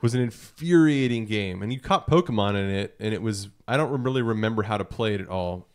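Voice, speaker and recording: A man with a fairly low, clean and resonant voice co-hosts a casual podcast. He talks in a loose, joking way, often breaking into his own sentences. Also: a little fast, a little mellow, smooth. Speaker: podcast co-host. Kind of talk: casual group chat, anecdotes and gaming news.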